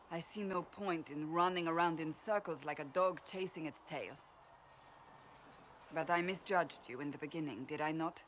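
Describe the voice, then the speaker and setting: A woman's voice: deep voice, natural voice, rough recording. A woman acts out a stern fantasy warrior woman for a game. She is devout and blunt, weighing her words with grave force. Faint background noise sits behind her. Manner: grave, forceful, deliberate phrasing. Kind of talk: video game cutscene acting.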